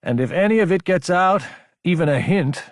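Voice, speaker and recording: A man's voice: high voice, expressive, normal speed, dry recording, clean studio sound, voice pushed up. A man voicing a young prince and military officer who speaks in a stern, blunt, commanding way. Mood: threatening.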